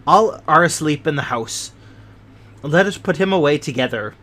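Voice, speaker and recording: A man voicing a loyal, sly old male servant who speaks softly and conspiratorially. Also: on the high side, animated, normal speed.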